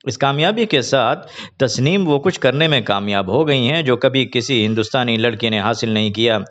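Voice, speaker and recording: A man reads scripted news in a formal, measured announcer manner. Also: medium pitch, a bit bright. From India. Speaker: news presenter. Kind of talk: scripted news reading in formal Urdu register. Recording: dull lo-fi online video audio.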